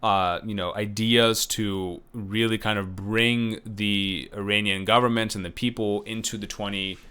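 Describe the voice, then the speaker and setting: A male professor lecturing in a loose, chatty way, with lots of ums and restarts. His voice sits fairly low yet sounds bright and clean. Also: fairly even intonation, measured pace, clean tone. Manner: loose, conversational, many fillers and restarts; unsure about names, self-correcting. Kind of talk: recorded lecture.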